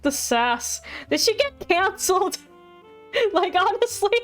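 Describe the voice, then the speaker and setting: A woman's voice: extremely high pitch, sing-song, slow pace, a bit bright. A woman livestreamer giving casual, playful, reactive commentary on a game. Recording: faint game music behind.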